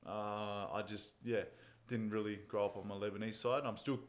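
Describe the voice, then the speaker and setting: A man, an MMA fighter being interviewed, telling stories in a relaxed, casual way and chuckling at his own jokes. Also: fairly low pitch, normal speed.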